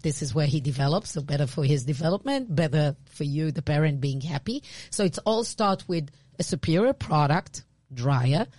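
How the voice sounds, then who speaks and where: A woman with an Israeli accent, co-hosting a podcast and explaining branding in a casual, animated way. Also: low voice, moderate pace, no echo. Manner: animated, restarts often, laughs easily. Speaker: podcast co-host, branding expert.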